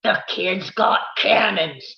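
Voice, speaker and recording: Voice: mid-range voice, slow pace, gravelly. An actor reading the part of a blunt, protective old male boxing trainer at a table read. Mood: urgent. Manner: blunt, pleading. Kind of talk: live online table read. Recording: roomy, dull lo-fi sound.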